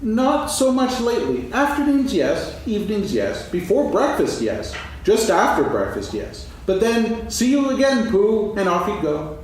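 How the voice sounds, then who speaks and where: A man playing a friendly, slow-witted storybook bear in a staged reading, bumbling and repeating himself. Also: high voice, wildly swooping pitch, exaggerated voice. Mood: earnest, muddled. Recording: echoey stage, distant mic, faint noise.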